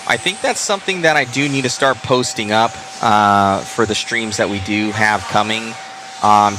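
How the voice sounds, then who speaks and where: A man's voice: on the low side, background noise. A tired man hosting a livestream, chatting loosely and in a friendly way to wrap up an event at an indoor race track. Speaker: livestream host. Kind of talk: casual end-of-event chat.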